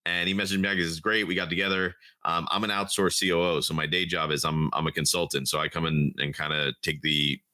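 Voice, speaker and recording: A middle-aged man with a very low yet strikingly bright, forceful voice tells family stories at a fast clip. He rambles cheerfully with lots of "uh" and "you know". The sound is dry and close. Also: little pitch movement, no echo. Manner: fast, chatty storytelling with many fillers. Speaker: podcast guest, cigar brand founder. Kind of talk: casual chat.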